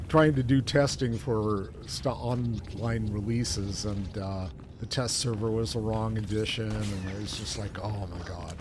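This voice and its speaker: A man co-hosting a live gaming stream in casual, chatty style. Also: lowish voice. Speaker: game streamer, co-host. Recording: clear background noise behind voice.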